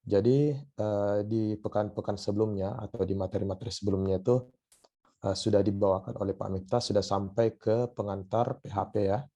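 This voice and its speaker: A hoarse man with a cough, giving a university lecture online and often pausing on filler sounds. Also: fairly low pitch, steady tone, mellow, highs cut off. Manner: hesitant, many filler sounds.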